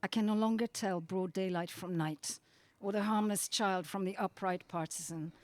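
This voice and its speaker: A woman speaking to a poetry event as translator and reader, with deliberate, dramatic phrasing. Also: mid-range voice, no echo. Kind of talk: introduction and expressive poetry reading. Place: outdoors at a gallery event.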